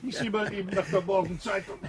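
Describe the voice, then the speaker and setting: An actor playing a pompous, slow-witted British colonel in a radio drama, fussy and overly polite. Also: male voice, sits high, fairly even intonation, a crowd in the background, natural voice. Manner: fussy and polite, with hearty laughs.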